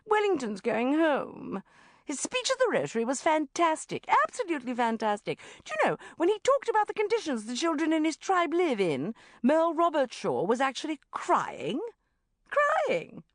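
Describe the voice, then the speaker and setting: Gushing, breathless mum, middle-aged, pitched very high and swooping, put on by a woman reader. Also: huge pitch swings, normal speed, dry recording, clean studio sound, exaggerated voice.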